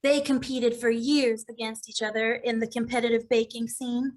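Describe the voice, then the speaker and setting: A woman reading an earnest, grieving woman client in an animated comedy mystery table read. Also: fairly high pitch, normal speed, lightly acted.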